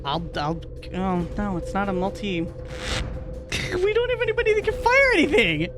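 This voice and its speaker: A woman's voice: medium pitch, very animated, moderate pace, loud music behind the voice. A woman talking casually as a player in a tabletop game. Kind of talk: casual chat.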